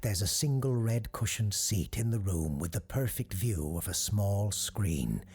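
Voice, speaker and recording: A man reading scripted, eerie documentary-style narration in a measured, deliberate way with dramatic pauses. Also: fairly low pitch, expressive, a little mellow, faint music behind. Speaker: host and narrator.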